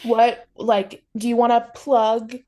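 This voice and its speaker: A woman's voice: on the high side, little pitch movement, slow pace, warm tone. A woman hosting an interview in a casual, effusive and encouraging way. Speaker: interview host. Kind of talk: casual chat.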